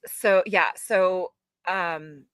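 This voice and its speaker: A woman's voice: lowish voice, steady tone, drawn-out words, fairly bright tone, clean tone, dry recording, studio quality. A woman guest, an actor and audiobook narrator, telling stories frankly and with plenty of swearing. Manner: frank, profane, storytelling. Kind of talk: casual interview chat.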